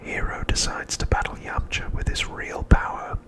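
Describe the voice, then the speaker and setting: A man whispering a scripted recap as ASMR narration, softly and with deliberate pauses, close to the microphone.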